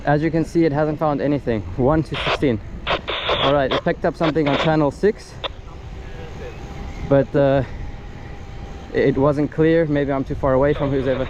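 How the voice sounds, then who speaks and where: A man's voice: a little high, fairly even intonation, normal speed, long pauses, lo-fi sound. A man giving a casual hands-on product demonstration, explaining things step by step as he goes, recorded outdoors. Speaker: gadget reviewer. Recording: loud wind and outdoor ambient noise.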